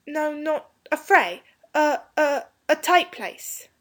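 A woman's voice: sits high, lively intonation, slow pace, voice pushed up. A woman voicing an eager young English schoolboy in a storybook, earnest and hasty, stumbling over his slangy words. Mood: flustered explaining. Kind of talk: storybook character dialogue.

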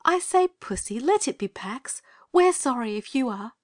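A woman's voice: on the high side, lively intonation, normal speed, a little mellow. A woman playing a kind, earnest young girl in a dramatized children's audiobook, with a coaxing, conciliatory manner. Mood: apologetic.

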